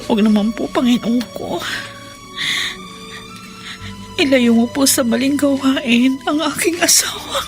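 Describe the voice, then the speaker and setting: A woman's voice: high voice, moderate pace, music in the background, close to an everyday voice. A woman playing a devout, loving, struggling mother in a radio drama, speaking with plaintive emotion. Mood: worried, pleading. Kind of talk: Tagalog radio drama acting.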